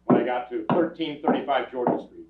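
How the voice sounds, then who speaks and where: A man's voice: medium pitch, fairly quick, a loud crowd, natural voice. An older man acting a terse, matter-of-fact police detective in a 1950s-style radio drama. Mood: businesslike narration. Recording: tinny, distant, roomy live stage recording.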